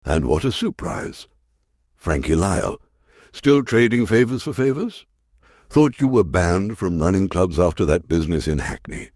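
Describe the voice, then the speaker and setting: An actor playing a hard-boiled, sardonic London detective inspector in a crime radio drama, curt and dry. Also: male voice, deep voice, very animated, normal speed, a little mellow, no echo.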